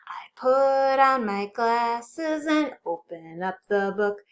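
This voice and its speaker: A woman hosting a children's storytime, reading aloud and chanting rhymes in an animated, exaggerated way for young children. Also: a little high, takes it slowly, dull audio. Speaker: children's librarian storytime host.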